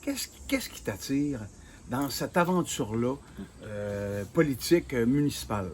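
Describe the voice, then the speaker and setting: A Québécois man asks questions in a friendly, halting way, stumbling over fillers as he goes. His voice is at a medium pitch, and faint crickets can be heard in the background. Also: sing-song, slight background noise. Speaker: interviewer. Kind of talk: casual interview questions.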